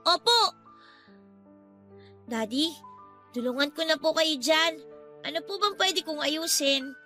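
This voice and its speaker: An actress playing a sweet, polite young Filipino daughter in a Tagalog radio drama. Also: female voice, sits high, animated, slow, sharp tone, exaggerated voice. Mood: sweet, curious. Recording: music under the voice.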